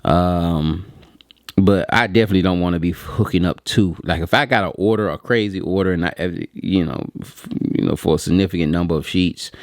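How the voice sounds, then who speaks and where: A man's voice: deep voice, a little fast, no room sound, clean studio sound. A man talking casually and slangily to his viewers, telling a rambling story and acting out bits of dialogue. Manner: slangy, conversational, acts out quoted dialogue. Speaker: print shop owner telling a story to viewers.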